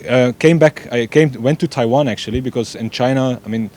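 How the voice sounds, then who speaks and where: A man's voice: medium pitch, fairly quick, darkish tone. A young man from Belgium, an eager race director chatting as an interview guest. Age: young adult. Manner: eager, repeats "yeah" often, loose non-native grammar. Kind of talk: casual interview chat.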